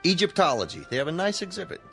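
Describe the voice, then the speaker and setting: A man's voice: fairly high pitch, wildly swooping pitch, quick pace, creaky, a bit bright, soft background music, exaggerated voice. An actor playing a folksy, no-nonsense male museum guard in a radio drama, plain-spoken and casual. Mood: helpful, chatty.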